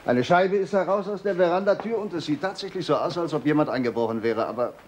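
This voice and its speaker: A man's voice: fairly high pitch, animated, fast talker, noisy background, lightly acted. An actor playing a hesitant, puzzled male police assistant in a 1950s radio drama. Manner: hesitant, pondering.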